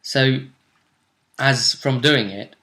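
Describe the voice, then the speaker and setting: A man with a bright, forward voice at an average male pitch. He recounts a tabletop role-playing game casually as its game master, telling it with emphasis and dramatic pauses.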